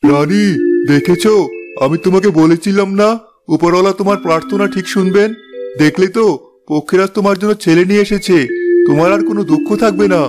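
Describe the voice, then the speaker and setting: A man playing a kindly king in a fairy-tale cartoon, a gentle and reassuring husband. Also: high voice, fairly quick, over music, lightly acted. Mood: pleased, kindly.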